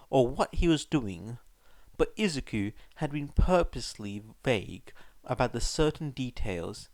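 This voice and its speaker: Unhurried, expressive male story narrator. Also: medium pitch, dry recording. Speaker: fan fiction audiobook narrator.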